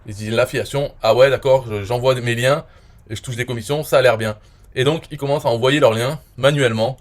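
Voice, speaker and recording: A man's voice: medium pitch, fairly even intonation, fast. French man, forties, rambling talk, lots of fillers, drops to a mutter at times. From France. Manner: rambling, frequent "euh" and "voilà", trailing off quietly. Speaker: online marketer reviewing his own interview. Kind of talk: casual explanatory monologue.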